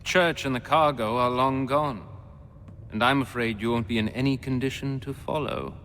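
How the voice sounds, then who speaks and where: A man's voice: mid-range voice, normal speed, a bit bright, slight background noise, close to an everyday voice. An actor playing a scornful turncoat who taunts his former allies in a video game cutscene. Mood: taunting. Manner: sneering, taunting. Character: scornful turncoat man leading an ambush.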